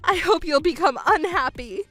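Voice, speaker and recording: A woman's voice: very high-pitched, expressive, moderate pace, a bit bright, faint music behind. A woman voicing a selfish, self-pitying middle-aged mother in a dramatized story, wailing and indignant. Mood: furious, accusing. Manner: wailing, overwrought.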